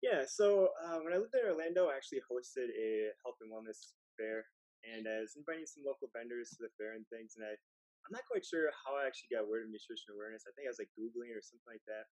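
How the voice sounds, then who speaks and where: A man's voice: mid-range voice, lively intonation, fast, a little mellow, no echo, low-quality recording. A man chatting eagerly as a podcast guest, with fillers and restarts. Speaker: podcast guest, personal trainer. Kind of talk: casual interview chat. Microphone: video call.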